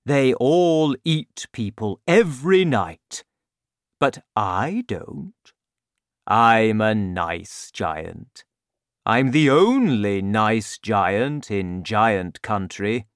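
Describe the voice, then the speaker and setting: A man voicing a huge, gentle giant in a storybook reading, speaking slowly and loudly. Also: sing-song, dry recording, studio quality, full character voice. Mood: booming. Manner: slow, booming, deliberate.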